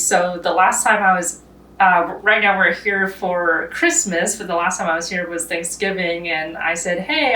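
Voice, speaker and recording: A woman's voice: mid-range voice, pushed and bright, poor audio. Chatty young woman vlogger. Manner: chatty, many fillers like "you know" and "uh". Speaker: filmmaker, vlog host. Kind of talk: casual vlog talk with filmmaking tips.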